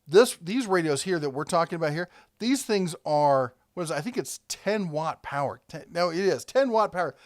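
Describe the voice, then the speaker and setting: A man's voice: fairly high pitch, expressive, moderate pace, dry recording, clean studio sound. A man hosting a casual product review, talking conversationally and thinking aloud as he rambles along.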